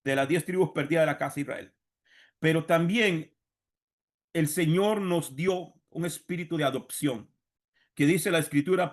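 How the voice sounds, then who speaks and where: A man's voice: a little high, a bit bright. Costa Rican man teaching scripture. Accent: Costa Rican Spanish. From Costa Rica. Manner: didactic, repeats key phrases for emphasis, addresses listeners directly. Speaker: religious teacher and congregation leader. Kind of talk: sermon-like Bible seminar teaching.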